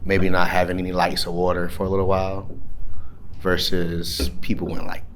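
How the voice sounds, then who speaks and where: A man in his thirties from Georgia, speaking reflectively about his life in an interview, pausing often with fillers. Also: low-pitched, completely flat delivery, crowd noise. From Savannah, Georgia, US. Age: 30s. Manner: reflective, with frequent hesitant fillers. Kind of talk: candid personal interview.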